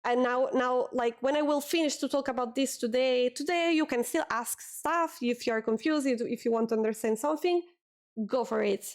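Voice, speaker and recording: A young Italian woman talks casually to her stream chat at a desk mic. Her voice sits a little high, and she repeats words as she goes. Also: moderate pace. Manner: chatty, repeats words, reads chat aloud.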